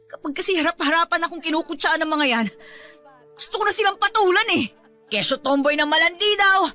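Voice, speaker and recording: A woman's voice: extremely high pitch, bright, forceful tone. A woman with a Filipino accent playing a distraught, defiant pregnant daughter in a radio-style drama, emotional and emphatic.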